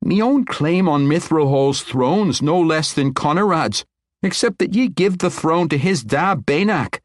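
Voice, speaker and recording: A proud young dwarf general woman, played by a male reader, pitched very high with a firm brogue. She presses her claim bluntly and stands her ground, in a close, dry audiobook sound. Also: expressive, moderate pace, no room sound. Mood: pressing.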